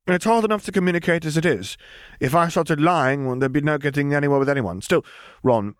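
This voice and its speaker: An odd, matter-of-fact little girl, put on by a male audiobook reader. The voice sits well above his natural pitch. She is blunt and self-assured, explaining things with deadpan certainty. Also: a little fast, no room sound, clean studio sound, close to an everyday voice. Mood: earnest. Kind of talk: audiobook character voice.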